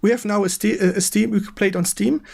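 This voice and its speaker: A man with a German accent hosting a casual live-stream interview in halting non-native English, searching for words. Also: sits high, fairly even intonation, vocal fry, darkish tone.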